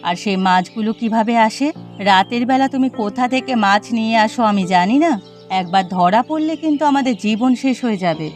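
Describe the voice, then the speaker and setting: A woman's voice: on the high side, expressive, fairly quick, faint music behind, natural voice. A woman with a West Bengal accent voicing a thief's suspicious, disapproving wife in a cartoon, speaking in pointed reproaches. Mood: reproachful. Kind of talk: cartoon voice acting.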